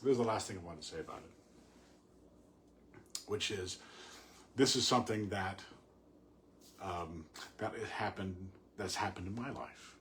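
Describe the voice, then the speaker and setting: A man reading and discussing poetry informally, in a reflective, halting, explanatory way. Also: deep voice, fairly bright tone. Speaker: poet reading and commenting on a poem. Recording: clearly audible background noise.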